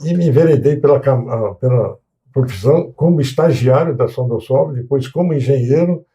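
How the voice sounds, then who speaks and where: A man in his sixties with a Brazilian accent, a guest in an interview, recalling his career at an unhurried pace with many restarts and hesitations. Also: medium pitch, warm tone. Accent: Brazilian Portuguese, São Paulo coast. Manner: reminiscing, with frequent restarts and hesitations. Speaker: guest, civil engineer. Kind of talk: casual interview talk.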